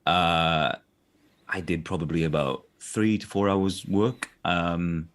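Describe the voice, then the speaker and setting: A man's voice: sits low, fairly even intonation, takes it slowly, a bit bright. A man with a South Welsh accent, a voice actor chatting as an interview guest, full of restarts and "do you know what I mean". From South Wales. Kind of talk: casual interview chat.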